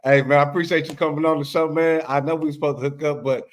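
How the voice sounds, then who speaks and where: A man's voice: on the high side, fairly even intonation, very fast. A man hosting a casual podcast interview, talking loosely and laid-back and peppering his sentences with "man". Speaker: podcast host.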